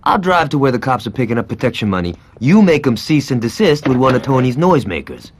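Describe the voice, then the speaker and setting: An actor playing a brash, sarcastic, violent gang lieutenant in a video game cutscene, foul-mouthed and cocky. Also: male voice, medium pitch, big pitch swings, fast talker, a little hiss or hum, close to an everyday voice. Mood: cocky, gleeful.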